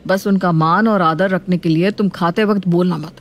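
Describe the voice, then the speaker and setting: A woman's voice: on the low side, fairly even intonation, moderate pace, slight background noise, natural voice. A woman voicing a young husband who explains things patiently and respectfully in a family story. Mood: calm, persuading. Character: young husband, calm, reasonable, respectful son.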